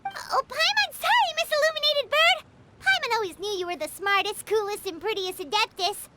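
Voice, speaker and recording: Squeaky, excitable little fairy. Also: female voice, normal speed, very noisy. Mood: cheeky flattery. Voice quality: squeaky, childlike. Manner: speaks of self in third person, exclamatory. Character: small excitable floating fairy companion, greedy and cheeky. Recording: loud background music under voice.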